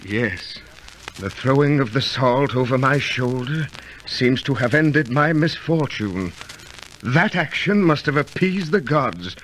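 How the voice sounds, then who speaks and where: A man's voice: mid-range voice, animated, takes it slowly, fairly bright tone. An actor playing a grave, superstitious ancient tribesman with formal, archaic phrasing in an old radio drama. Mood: solemn, relieved. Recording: faintly noisy, clicky old radio recording.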